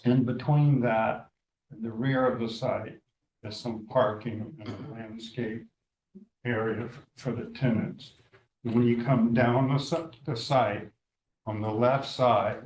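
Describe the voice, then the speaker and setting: A man in his eighties with a scratchy voice, presenting an architect's plans at a town meeting, measured and detailed with many fillers. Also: medium pitch, steady tone, darkish tone. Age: 80s. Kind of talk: formal presentation at a public meeting. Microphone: table microphone. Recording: echoey meeting room.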